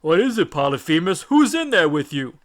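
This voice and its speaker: A man's voice: on the high side, big pitch swings, normal speed, voice pushed up. A man voicing a huge neighbouring cyclops, dismissive but neighbourly, in an audiobook. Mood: concerned. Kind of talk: audiobook character acting.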